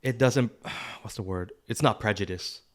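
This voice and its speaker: A man in his late twenties from California, with a local accent and a fairly low voice. He chats casually as a podcast co-host, loose and slangy, often feeling around for the right word. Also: measured pace. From San Bruno, California, US. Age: late 20s. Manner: slangy, loose, searching for words. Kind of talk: casual chat.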